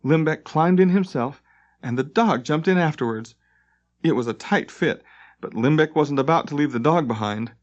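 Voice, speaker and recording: A man's voice: on the high side, moderate pace. A man reading scripted fantasy narration dramatically, as an audiobook narrator. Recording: dull lo-fi tape transfer.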